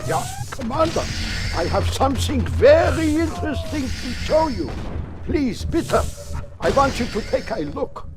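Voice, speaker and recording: An actor playing an eccentric, excitable German scientist with a German accent and a halting, theatrical delivery in a video game cutscene. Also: male voice, very high voice, music in the background, full character voice. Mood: eager, proud.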